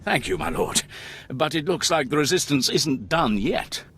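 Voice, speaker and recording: An actor playing a brash, gung-ho Imperial lieutenant in a video game, raspy and cocky with theatrical emphasis. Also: male voice, fairly high pitch, lively intonation, moderate pace, fairly bright tone. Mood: gung-ho, bloodthirsty. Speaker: military officer character.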